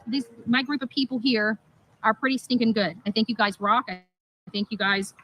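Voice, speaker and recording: A woman's voice: a little high, normal speed, a bit bright, dull audio, a little hiss or hum. A woman livestreamer speaking in an emphatic, indignant and repetitive way as she vents. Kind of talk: live stream monologue, venting.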